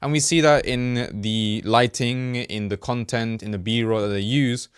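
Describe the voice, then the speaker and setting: A Spanish-native man from Colombia hosts a casual explainer, talking straight to the viewer in an easy conversational way. He drops into quick mock-voiced asides now and then. His voice sits at a middle pitch and the sound is dry and close, with no room echo. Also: fairly even intonation, clean tone. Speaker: host, ad creative marketer. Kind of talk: casual explainer talk to camera.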